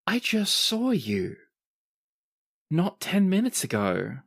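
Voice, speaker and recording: A young male scout in his late teens, voiced by a man for a tabletop game. He is dazed and halting, piecing his words together in a close, dry sound. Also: mid-range voice, expressive, dry recording. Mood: staggered, halting. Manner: halting, baffled.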